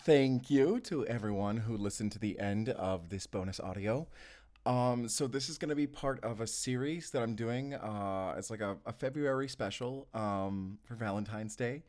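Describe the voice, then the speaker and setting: A man's voice: lowish voice, normal speed, a little mellow. A man casually addressing his listeners as an audio creator, easygoing and hesitant in his phrasing.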